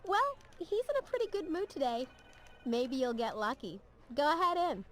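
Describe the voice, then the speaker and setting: High-voiced friendly secretary. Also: female voice, big pitch swings, normal speed, soft background music. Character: friendly female newspaper office secretary. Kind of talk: video game cutscene acting.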